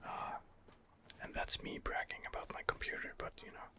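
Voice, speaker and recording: A man's voice: normal speed, bright, forceful tone. A man giving whispered ASMR commentary on a game as its host, in a hesitant, rambling manner. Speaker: gaming video host.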